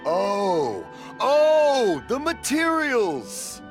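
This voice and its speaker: A kindly old father from a video game, amiable and a bit deaf. He speaks slowly with big swings in pitch, over background music. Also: wildly swooping pitch, heavily acted. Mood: amiable, puzzled. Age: older. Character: gentle, cheerful elderly hard-of-hearing father. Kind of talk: video game dialogue acting. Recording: music under dialogue.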